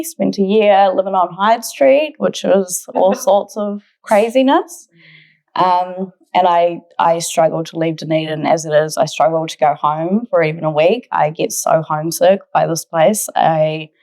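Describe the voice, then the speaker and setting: A woman's voice: mid-range voice, darkish tone. A woman in her twenties with a New Zealand accent, telling her own story earnestly and enthusiastically as a podcast guest in a conversational way. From Auckland, New Zealand. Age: 20s.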